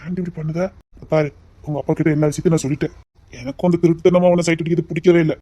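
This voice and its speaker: A woman's voice: low-pitched, level tone, racing, muffled recording. A woman playing a teasing young lover with a lilt. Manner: teasing, then softly tender. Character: young man courting his sweetheart, teasing. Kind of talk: amateur romantic drama.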